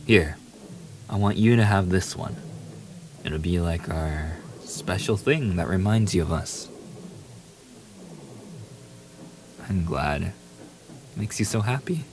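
A man's voice: low-pitched, a little slow. A man playing a famous young pop idol boyfriend in an ASMR audio roleplay, playful, affectionate and eager, teasing the listener in a casual, intimate way. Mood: playful, tender. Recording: steady hiss behind the voice.

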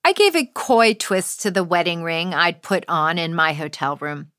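A woman's voice: on the low side, lively intonation, normal speed, fairly bright tone, no echo. A woman writer reading her own first-person essay aloud with wry, dry humor.

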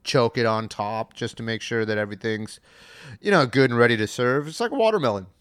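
A man's voice: mid-range voice, fairly quick, a bit bright, clear, resonant tone, no echo, clean studio sound. A man improvising a rambling comedy character on a podcast, hesitating and filling his sentences with "uh" and "like". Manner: rambling, hesitant, filler-heavy.

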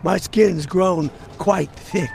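Ancient, thick-skinned salesman; high gravelly voice, weary and guarded, audio drama. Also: slow pace, darkish tone, soft background music, exaggerated voice. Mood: bitter. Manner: weary, defensive. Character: jaded, centuries-old salesman, thick-skinned and guilty.

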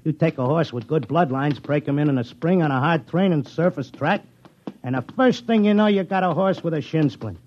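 An actor playing a brash, cynical horse-racing gambler in a classic radio drama, talking in streetwise, wisecracking slang. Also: male voice, a little high, fairly quick, slight background noise, natural voice. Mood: absorbed rambling. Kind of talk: classic radio drama acting and first-person narration. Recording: dull old radio recording with music.

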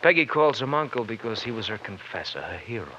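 A bitter young man from a radio crime drama, very deep yet sharp-edged. He wisecracks in slangy, mocking patter. The old recording is dull with faint noise. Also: very animated, fast. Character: bitter, sardonic young bowling-alley man, failed lawyer.

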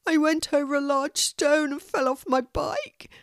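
A woman voicing a hurt little boy, whimpering but brave, in a high childlike voice. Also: sing-song, slow pace, dry recording, studio quality, full character voice.

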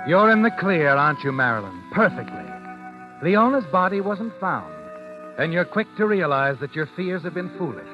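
An actor playing an ominous, needling narrator in an old-time radio suspense drama, addressing the guilty heroine as you. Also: male voice, a little high, very animated, moderate pace. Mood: insinuating. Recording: dull old radio recording, loud music behind. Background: dramatic music.

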